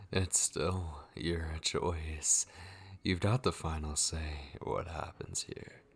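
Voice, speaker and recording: A man acting an obsessive, possessive kidnapper in an ASMR horror roleplay, brooding and intense, with a breathy, gravelly voice that often drops into a whisper. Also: very deep voice, heavily acted. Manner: slow, intense, brooding delivery with drawn-out pauses. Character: obsessive, possessive male yandere kidnapper, menacing yet tender.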